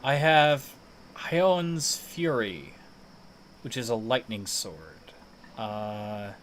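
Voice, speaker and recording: Male game streamer, bright forward voice, casual playful chat, audible background noise. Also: mid-range voice, very slow. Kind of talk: casual gaming chat, thinking aloud.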